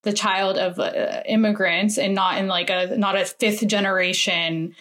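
A woman's voice: medium pitch, steady tone, unhurried, a bit bright. A woman co-hosting a podcast, asking a long thoughtful question with frequent fillers. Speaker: podcast co-host. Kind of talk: interview question.

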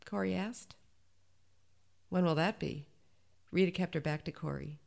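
A woman's voice: lowish voice, unhurried, creaky, darkish tone. A woman reading a short story aloud as scripted narration.

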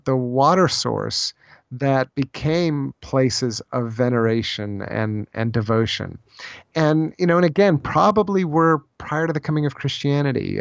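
A man speaking as an interview guest, explaining ideas in a thoughtful, halting conversational way and often repeating phrases as he goes. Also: medium pitch, vocal fry, no echo. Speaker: podcast guest, author on Celtic spirituality. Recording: slightly dull, lo-fi audio.

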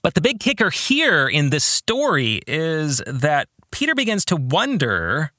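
A man speaks as a podcast host in a bright, forward voice with animated rises and falls. His phrasing is deliberate and earnest, like someone thinking through religious questions for listeners. The sound is close and very dry, with no room around it. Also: on the high side, no room sound. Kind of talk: reflective religious commentary on a book.

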